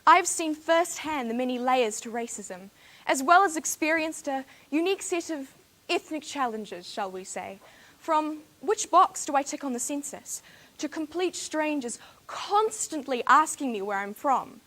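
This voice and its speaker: A woman's voice: high voice, lively intonation, measured pace, a bit bright. A teenage woman from New Zealand with a New Zealand accent, giving a formal competition speech with rhetorical, dramatic emphasis. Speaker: speech contestant.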